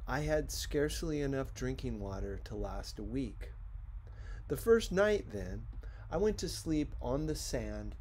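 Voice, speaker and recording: A man reading a storybook as an audiobook narrator, with dramatic, deliberate phrasing. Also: mid-range voice, darkish tone, a little hiss or hum, poor audio. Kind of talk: expressive scripted storybook narration.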